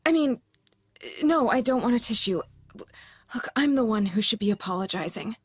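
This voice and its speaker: A woman's voice: a little high, animated, moderate pace, slightly rough, no room sound, clean studio sound. An actress playing a blunt, wry young woman recovering from an accident, in an audio drama.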